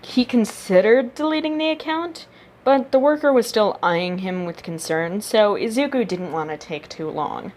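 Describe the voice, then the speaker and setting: A woman's voice: medium pitch, lively intonation, moderate pace, darkish tone. A woman reading a story aloud as a narrator, voicing the character's thoughts expressively. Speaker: amateur audiobook narrator reading fan fiction.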